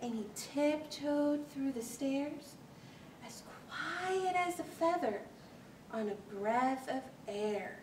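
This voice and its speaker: A woman in her thirties reading a rhyming children's story aloud with an expressive, rhythmic storytelling delivery. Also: high-pitched. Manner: animated, deliberate, rhythmic delivery of rhyming verse. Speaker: librarian reading a picture book aloud. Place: small library children's corner, indoors. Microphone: camera a few metres away. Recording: echoey room, distant mic, faint hiss.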